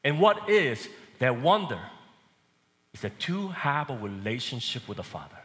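A man from Korea with a Korean accent, preaching a sermon and swinging between loud and soft emphasis. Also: medium pitch, very animated, measured pace, in a large hall.

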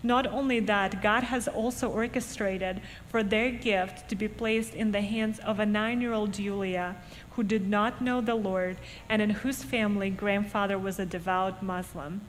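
A woman's voice: mid-range voice, fairly even intonation. Earnest woman in a church. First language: Russian. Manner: earnest, measured storytelling. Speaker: guest speaker. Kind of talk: church testimony and appeal. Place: church sanctuary. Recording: echoey hall, faint background noise.

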